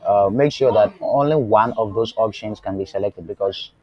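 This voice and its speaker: A man's voice: lowish voice, highs cut off. A man teaching web development live online, who often asks the class "what?" and checks whether they are following. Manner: asks rhetorical "what?" questions and lets the class fill in the answer; slow and deliberate, with fillers and restarts. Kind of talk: live online coding lesson. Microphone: remote class, voice faint at times.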